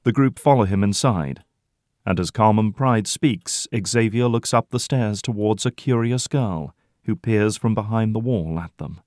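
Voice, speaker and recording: A man's voice: on the low side, unhurried, a little mellow, dry recording, clean studio sound. A man delivering scripted narration as the storyteller of an audio drama.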